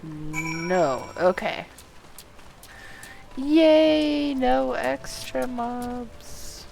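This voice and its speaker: Slow-paced woman streaming a game. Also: on the high side, lively intonation, creaky, a little mellow, soft background music, rough recording. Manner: reacts aloud, muttering to self. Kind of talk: casual live game commentary.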